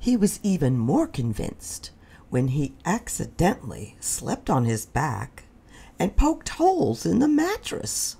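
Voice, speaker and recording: A woman reading a children's story aloud in an expressive way, with deliberate dramatic pauses. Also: deep voice, gravelly, husky. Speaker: storybook reader.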